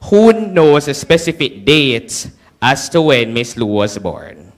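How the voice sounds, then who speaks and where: A man's voice: medium pitch, big pitch swings, slow. Jamaican man presenting to a crowd. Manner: engaging, checks in with "right?" often. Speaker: student presenter. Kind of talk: classroom presentation to an audience.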